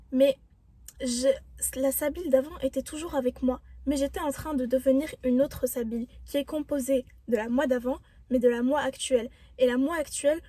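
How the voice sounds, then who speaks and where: High-voiced, mellow young woman vlogger. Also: dry recording. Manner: reflective, earnest, encouraging. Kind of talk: motivational self-help talk.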